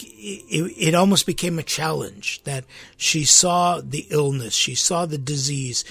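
Raspy, earnest male priest. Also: a little high, fairly bright tone, dry recording. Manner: earnest, conversational, with restarts and sudden emphatic rises. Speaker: priest and podcast host. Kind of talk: informal spiritual talk. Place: studio.

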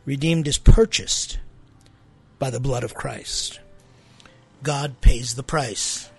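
A man's voice: mid-range voice, slow, darkish tone, husky, soft background music. A man who hosts a church talk show, chatting casually about faith and often tossing in rhetorical tag questions. His first language is Armenian, and he grew up in the United States.

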